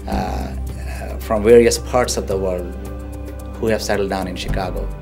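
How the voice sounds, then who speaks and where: Gravelly low-voiced man, earnest. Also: huge pitch swings, measured pace, over music. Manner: earnest, restarts his phrases. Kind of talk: documentary interview.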